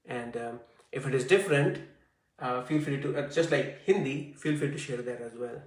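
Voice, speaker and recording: A man's voice: mid-range voice, normal speed, recorded from a distance. Echoey man teaching a class. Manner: conversational, frequent fillers and "I see". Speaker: Hindi teacher.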